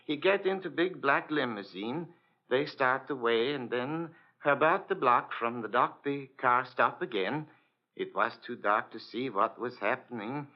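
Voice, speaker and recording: Man playing halting Scandinavian ship's purser, old radio. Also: mid-range voice, natural voice. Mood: helpful, earnest. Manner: halting, broken English, polite. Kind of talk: 1940s radio drama acting. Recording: dull old radio recording.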